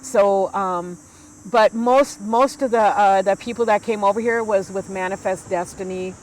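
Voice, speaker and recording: A woman's voice: mid-range voice, unhurried, a little mellow, slight background noise, low-quality recording. A woman in her sixties, an elder talking earnestly and from the heart, with many fillers and restarts. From United States. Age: 60s. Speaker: Ojibwe elder and guest. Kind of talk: conversational storytelling.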